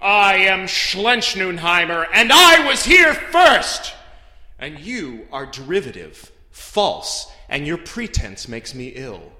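A man's voice: lively intonation, slow, sharp tone, heavily acted. A man playing a pompous, thundering accuser and self-proclaimed elder in a theatrical mock trial. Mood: indignant, proud. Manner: booming, pompous, declamatory. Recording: echoey hall with music behind.

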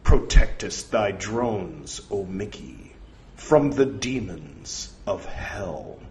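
A man's voice: fairly low pitch, lively intonation, very slow, darkish tone, full character voice. A man voicing a scheming sergeant who poses as a divine voice, with ominous, pompous, archaic speech in a story. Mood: instructing, grave.

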